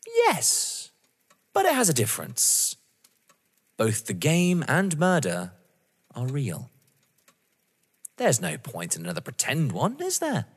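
Audio drama: wounded, menacing avenger, played by a man, slow and halting, dramatic, set in a reverberant hall. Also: a little high, wildly swooping pitch, husky, heavily acted. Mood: taunting, unhinged. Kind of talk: full-cast audio drama acting of a stage play.

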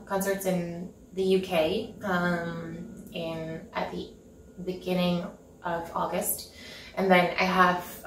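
Norwegian woman, gravelly low voice, roomy. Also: fairly bright tone, a little hiss or hum. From Norway. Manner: chatty, giggly, lots of ums and restarts. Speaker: interview guest, concert pianist. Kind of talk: casual interview chat. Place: home living room with piano, indoors. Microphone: far camera mic. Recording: echoey room, mic at a distance.

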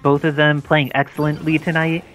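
A man's voice: fairly high pitch, little pitch movement, moderate pace, a bit bright. A man giving explanatory, articulate live play-by-play of a fighting game as an esports commentator. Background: game music under the commentary.

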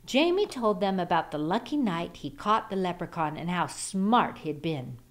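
A woman reading a children's picture book aloud as an expressive storyteller. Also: lowish voice, moderate pace.

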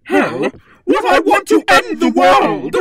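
A woman's voice: very high voice, wildly swooping pitch, takes it slowly, full character voice. A woman playing a flippant, theatrical robot computer queen in a video game fandub. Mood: flippant, exclaiming. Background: faint music.

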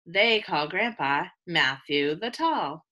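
A woman reading a children's picture book aloud like a teacher, with expressive delivery aimed at young listeners. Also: lowish voice, slow, lo-fi sound. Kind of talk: children's read-aloud, scripted narration.

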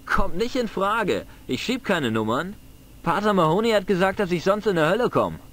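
A man's voice: high voice, very animated, normal speed, a bit bright. A man voicing a cheeky, defiant teenage village boy in a video game, boyish, slangy and cocky, with a flair for dramatic tall tales.

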